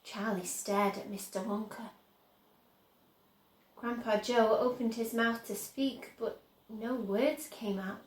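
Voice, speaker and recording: A woman reading a children's story aloud as narrator, in a measured, careful storytelling manner. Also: fairly high pitch, fairly even intonation, roomy sound, rough recording.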